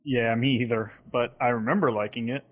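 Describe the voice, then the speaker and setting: A man's voice: mid-range voice, fairly even intonation, fairly quick. A man in a casual podcast chat, offering opinions in a tentative, hedging way.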